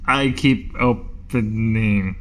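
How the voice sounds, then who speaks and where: A man's voice: lowish voice, drawn-out words, a bit bright. A man chatting casually as a player at a tabletop roleplaying game.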